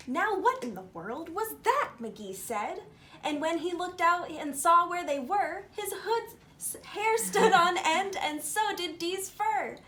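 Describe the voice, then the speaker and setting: High-voiced woman reading a children's story. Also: expressive, slow pace, bright, forceful tone. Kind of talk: picture-book read-aloud.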